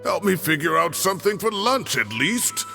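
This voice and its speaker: A man's voice: high-pitched, sing-song, moderate pace, creaky, full character voice. An actor playing a cocky old male scholar who boasts and haggles his way out of trouble. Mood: defensive. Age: older. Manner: boastful, bargaining, pleading. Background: background music.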